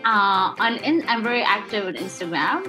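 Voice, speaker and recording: Filipino woman, podcast guest, bright tone, frank and laughing, faint music behind. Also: medium pitch, animated, normal speed, poor audio. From Philippines. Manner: candid, laughs often, blunt. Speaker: guest author. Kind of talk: casual podcast chat.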